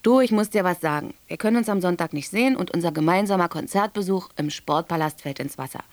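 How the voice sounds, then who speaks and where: A woman's voice: lowish voice, quick pace, a little mellow, no room sound, close to an everyday voice. A young woman playing a pert schoolgirl youth secretary in a radio drama, cheeky and colloquial. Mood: teasing. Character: pert, mocking teenage schoolgirl youth secretary writing a letter. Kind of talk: German radio drama monologue.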